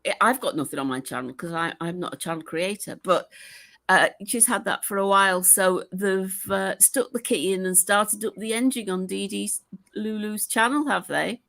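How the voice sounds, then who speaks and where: A woman's voice: medium pitch, moderate pace, gravelly, fairly bright tone. A woman chatting casually on a group call with wry, dry humor. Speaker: call-in guest. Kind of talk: casual chat.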